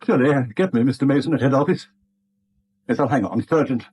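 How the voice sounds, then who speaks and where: A man's voice: medium pitch, fast talker, a little mellow, breathy edge, close to an everyday voice. A man acting a proud, embittered older British bank manager in a 1970s radio drama, curt and indignant. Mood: tense, offended. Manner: curt, indignant, self-pitying.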